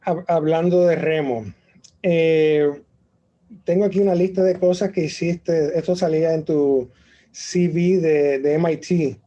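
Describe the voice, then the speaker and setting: A woman's voice: deep voice, steady tone, a little slow, dark tone, dull audio. A woman hosting an interview, hesitant and repeating words as she asks questions over a video call. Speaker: host.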